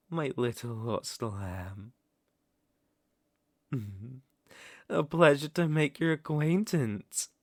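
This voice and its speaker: A man's voice: mid-range voice, no room sound, studio quality. A man with a British accent playing a centuries-old aristocratic vampire lord in an ASMR roleplay, suave, predatory and teasing, with formal, theatrical phrasing. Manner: silky, theatrical, teasing and formal with lingering pauses.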